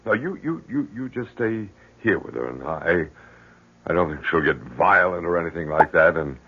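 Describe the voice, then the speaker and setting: A stubborn, worried father in a vintage radio play. The voice is low and husky, halting yet insistent, and heavily muffled by the recording. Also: animated, exaggerated voice. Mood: stubborn, agitated. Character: worried, stubborn middle-aged father of a grown daughter. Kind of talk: old-time radio drama acting.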